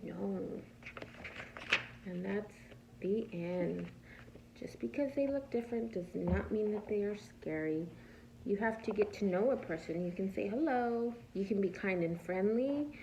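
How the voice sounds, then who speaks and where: A woman reading a picture book aloud as a preschool teacher, pausing to ask small children simple questions and patiently explaining the answers. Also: mid-range voice, vocal fry, noisy background. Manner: animated, asks simple questions and answers them herself.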